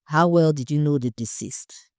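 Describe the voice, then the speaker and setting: A woman's voice: low-pitched, normal speed, darkish tone, dry recording, studio quality. A woman voicing a composed, direct female investigator in an audio story. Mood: probing. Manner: direct, questioning.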